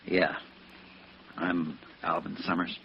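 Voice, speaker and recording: An actor playing a weary, edgy embezzler on the run, halting and remorseful, in 1950s radio noir style. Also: male voice, deep voice, flat delivery, vocal fry, heavily acted. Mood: guarded. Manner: tense, halting, world-weary. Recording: muffled, noisy vintage radio recording.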